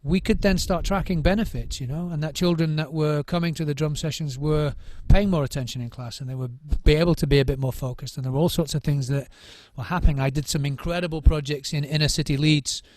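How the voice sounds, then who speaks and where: A man's voice: on the high side, fairly even intonation, a little fast, few pauses, darkish tone, no echo. A middle-aged man, a drum circle trainer, giving thoughtful interview answers, often restarting and repeating words as he searches for what to say.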